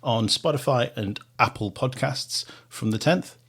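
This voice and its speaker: A man's voice: medium pitch, a little slow, a bit bright, husky. A man with a Manx accent hosting a radio interview, asking short questions and reading out a cast list. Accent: Manx English. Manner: brief encouraging interjections, reads out cast list. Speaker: radio podcast host.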